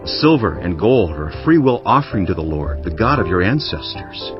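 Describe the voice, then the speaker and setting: A man with a low, lively-pitched voice narrates the Bible in a solemn, declamatory style. His phrasing is deliberate and dramatized. The sound is a little dull, with music playing underneath. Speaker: scripture narrator. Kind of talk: dramatized Bible narration, first-person scribe's account. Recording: dull lo-fi audio with music bed. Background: background music.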